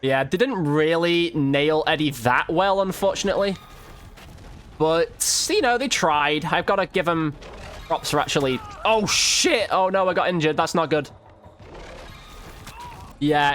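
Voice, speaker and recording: Casual higher-voiced man commentating on gameplay. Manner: informal, slangy, mild swearing. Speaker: gaming video host.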